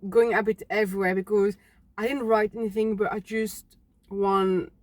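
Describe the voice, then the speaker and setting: A young woman from Belgium, telling her own story unscripted to camera in a halting, earnest way, often restarting words. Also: mid-range voice, little pitch movement, a little mellow. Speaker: fitness vlogger.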